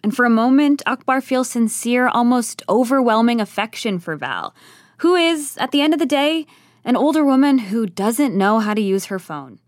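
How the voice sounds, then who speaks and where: A woman reading scripted comedy-drama narration in a measured, wry storytelling manner. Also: on the high side, lively intonation, no echo, clean studio sound.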